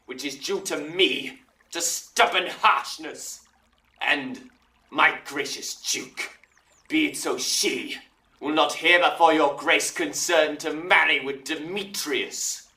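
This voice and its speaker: Guy doing angry old dad in a shakespeare audio play, piercing voice, slow indignant speechifying, roomy and far off. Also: on the high side, pushed and bright, exaggerated voice. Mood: stern, pleading. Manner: declamatory, indignant, deliberate. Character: angry, possessive old father of a runaway lover. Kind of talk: Shakespearean audio drama acting. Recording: roomy echo, distant from mic.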